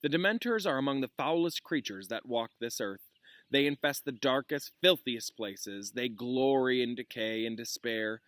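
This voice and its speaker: A man's voice: medium pitch, animated, normal speed, a bit bright, no room sound, natural voice. A man voicing a grave, kindly young wizard professor in a storybook read-aloud, solemn and emphatic. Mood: grave, reassuring.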